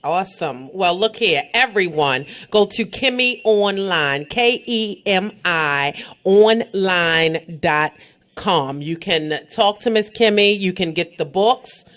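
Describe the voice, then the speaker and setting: An American woman hosting a radio interview, talking in an emphatic, lively way with frequent "um" and direct calls to her listeners. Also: fairly low pitch, slow pace. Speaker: radio host. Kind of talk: radio interview and event promotion.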